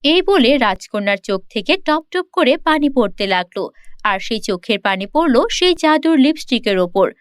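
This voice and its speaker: A woman's voice: on the high side, expressive, normal speed, clean tone, dry recording, clean studio sound. A woman storyteller reading a scripted fairy-tale narration for children.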